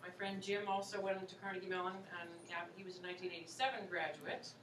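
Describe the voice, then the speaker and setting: Chatty woman in her 40s, bright voice, recorded from far off in an echoey school hall. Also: fairly low pitch, steady tone, fast talker. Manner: chatty, encouraging, many "you know"s. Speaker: opera singer and voice teacher, guest speaker. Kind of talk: casual talk and Q&A with students. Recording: echoey room, far from mic, faint hiss.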